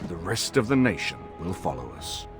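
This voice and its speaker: An actor playing a dignified, resolute older nobleman in a fantasy game, speaking in a measured, formal and solemn way. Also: low voice, sing-song, over music. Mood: solemn, determined. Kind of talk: dramatic fantasy game cutscene acting.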